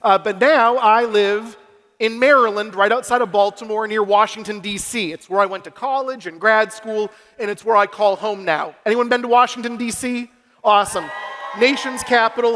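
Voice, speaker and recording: A man's voice: sits high, sharp tone, big-room sound. A young man from Massachusetts giving an upbeat, self-deprecating keynote speech and working the crowd at a youth conference. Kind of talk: energetic stage speech to a youth audience. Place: large convention hall stage. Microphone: stage microphone through a PA.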